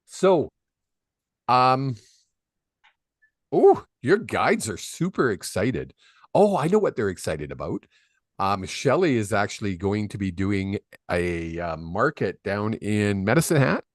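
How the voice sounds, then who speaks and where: A man's voice: fairly low pitch, very animated, slow pace, dry recording, studio quality. A man hosting a live psychic reading stream, chatty and rambling with lots of fillers, on a desk microphone. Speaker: psychic medium host. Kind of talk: casual live-stream chat. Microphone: desk microphone on a video call.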